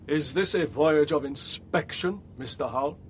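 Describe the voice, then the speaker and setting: A man's voice: on the high side, normal speed, a little hiss or hum, lightly acted. A man playing a proud, suspicious, obstinate ship's captain in a radio drama, blunt and wary, talking in short probing phrases.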